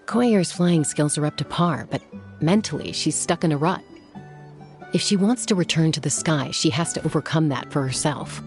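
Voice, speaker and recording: A composed female warrior in a video game. She explains things in a measured, matter-of-fact manner, protective yet frank. Faint music runs underneath. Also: deep voice, expressive. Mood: calm, kindly. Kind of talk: video game dialogue acting.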